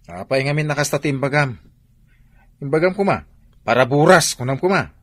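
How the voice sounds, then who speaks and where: A bossy, protective employer with a short fuse. His husky voice moves widely in pitch, carrying an Ilocano accent in radio drama style. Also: lively intonation, brisk, fairly bright tone. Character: bossy male cousin, protective, testy employer.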